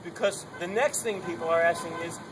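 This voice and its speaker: A man's voice: high voice, brisk. A man with a strong, projecting voice giving an unamplified speech at an outdoor protest gathering, emphatic and urging, driving his points home through repetition. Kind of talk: unamplified open-mic speech. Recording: noisy outdoor recording.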